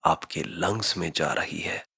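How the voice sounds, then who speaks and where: Guy doing guided meditation, super slow step by step instructions, bright forward voice but kinda raspy. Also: deep voice. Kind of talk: scripted guided meditation narration.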